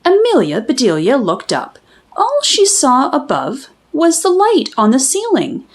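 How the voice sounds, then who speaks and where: A woman reading a children's storybook aloud as an expressive narrator. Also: high voice, unhurried.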